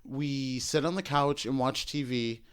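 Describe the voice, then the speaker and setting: A man's voice: mid-range voice, a little slow, clean tone. A man co-hosting a podcast, casually telling a personal story with laughter and acted-out quotes. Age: adult. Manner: animated, laughing, acts out quotes. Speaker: podcast co-host.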